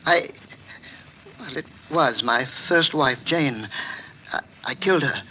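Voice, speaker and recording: An actor playing a nervous, evasive, guilt-ridden murderer in a 1940s radio drama, speaking in hesitant stammers. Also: male voice, mid-range voice, animated, takes it slowly, bright, forceful tone, muffled recording. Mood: frightened, pleading. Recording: noisy vintage 1940s radio recording.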